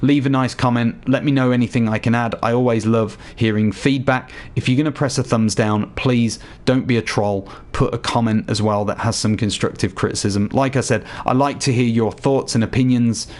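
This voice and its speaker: A man with a slightly hoarse voice chatting casually to viewers while walking them through a game showcase. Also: medium pitch, steady tone, normal speed, few pauses, a little mellow. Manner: chatty, addresses viewers directly. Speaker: gaming YouTuber. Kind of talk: casual game tutorial voice-over.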